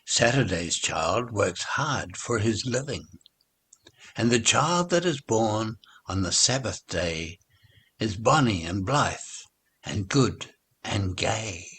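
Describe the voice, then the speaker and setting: A man reciting children's nursery rhymes in an expressive, theatrical, playful way. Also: fairly low pitch, husky. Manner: slow, theatrical, playful delivery.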